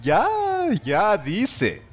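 Man playing a high-voiced, wary young gangster. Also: wildly swooping pitch, very slow, exaggerated voice. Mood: teasing. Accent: Latin American Spanish. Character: wary, exasperated young male gang member. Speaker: fan dub voice actor. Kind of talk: fan-dubbed video game crime dialogue.

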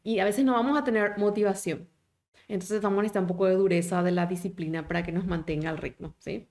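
A woman, a German teacher in a live online class who talks with lively encouragement and asks her viewers many questions. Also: medium pitch, quick pace. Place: small home office indoors.

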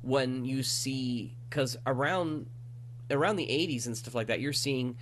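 A man's voice: mid-range voice, unhurried, a little hiss or hum. A man hosting a conversational interview, talking in a reflective, discursive way. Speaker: podcast host, interviewer.

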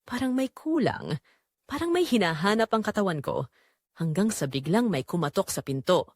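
A woman's voice: mid-range voice, very animated, normal speed, dry recording, studio quality. A woman with a Filipino accent reading a first-person scripted drama in a confessional, storytelling manner. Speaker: audio drama narrator.

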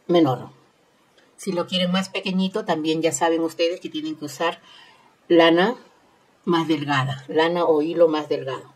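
Husky, low-voiced woman. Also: fairly even intonation, normal speed, darkish tone, low-quality recording. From Peru. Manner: instructional, repeats phrases, adds tag questions. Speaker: host of a crochet tutorial channel. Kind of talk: informal step-by-step craft tutorial.

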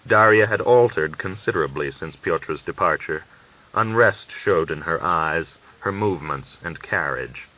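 A man narrates a literary novel with expression. His voice sits very low. The audiobook recording sounds somewhat dull and lo-fi. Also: measured pace.

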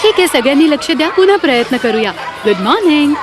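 A woman voicing a kind, eager young princess who teaches children in an animated fairy tale. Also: high voice, fast talker, a crowd in the background. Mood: bright, encouraging. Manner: encouraging, addressing children. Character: earnest young princess turned new schoolteacher, kind and eager.

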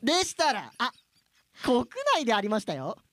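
A man playing a polite, cheeky real estate agent who jokes deadpan in a light comic radio drama. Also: very high-pitched, moderate pace, no room sound, exaggerated voice. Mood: eager, deadpan.

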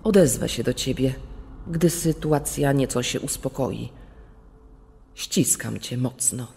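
A woman playing a letter writer confiding in her brother, reading in a solemn, measured way. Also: very deep voice, vocal fry, dark tone, a little hiss or hum, full character voice. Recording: long reverb.

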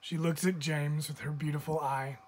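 A man's voice: fairly high pitch, level tone, normal speed, darkish tone. Man reading a storybook, noisy recording. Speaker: teacher reading aloud. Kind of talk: children's picture book read-aloud. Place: indoors, book filmed from above.

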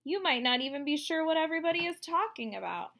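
A woman in her thirties reading a picture book aloud to children, explaining as she goes in an expressive way. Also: high voice, fast talker. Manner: expressive, explanatory, addressing young listeners. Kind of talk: read-aloud storytelling for children with asides. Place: room at home, indoors.

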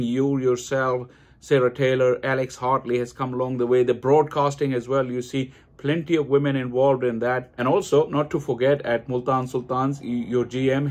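A middle-aged man hosting an online interview, asking questions in a formal, polite way. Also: medium pitch. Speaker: host. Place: indoors, room with curtains.

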